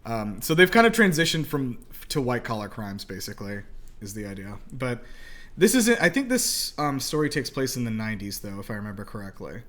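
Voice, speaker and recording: A man hosting a movie reaction show, explaining things in a halting, informal, conversational way as he thinks aloud. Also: mid-range voice, sing-song, a bit bright.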